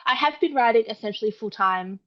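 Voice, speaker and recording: A woman's voice: on the high side, brisk, highs cut off. A young Australian woman chatting as a guest author in an interview, full of fillers and quoted asides. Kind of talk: casual interview chat.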